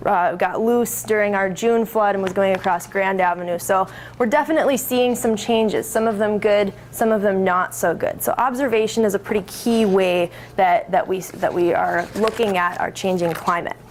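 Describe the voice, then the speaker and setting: A woman's voice: medium pitch, steady tone, normal speed, slight background noise. A woman presenting to an audience, explaining with friendly asides and self-corrections. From Minnesota. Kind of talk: public talk.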